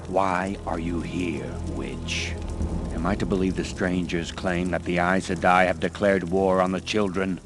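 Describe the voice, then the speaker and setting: A man's voice: sits low, fairly even intonation, measured pace, music in the background. A man playing a menacing zealot garrison commander in a fantasy video game, sneering and theatrical with mock courtesy. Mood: cold menace. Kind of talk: villainous fantasy game acting.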